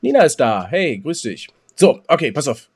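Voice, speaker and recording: A man with a German accent chatting casually as a podcast co-host, teasing and quick to interject. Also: on the high side, sing-song, husky. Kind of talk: casual, bantering chat.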